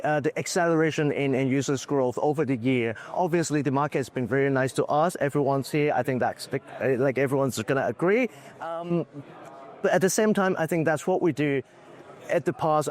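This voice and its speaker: A man, a business executive, answering questions in a conference booth interview, slightly hoarse from losing his voice, talking candidly with lots of fillers. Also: a little high, darkish tone. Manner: candid, loose, full of "uh" and "like".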